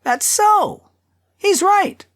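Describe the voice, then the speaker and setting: Narrator putting on shrill, excitable townsfolk shouting. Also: very slow, full character voice. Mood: excited, clamorous. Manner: shrill, clamorous calling out. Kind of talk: acted audiobook dialogue.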